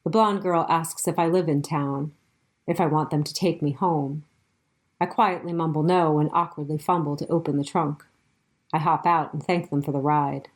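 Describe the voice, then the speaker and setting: Female storyteller for a narrative podcast, low voice, measured and deliberate delivery. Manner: measured, short deliberate phrases. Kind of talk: scripted first-person story narration.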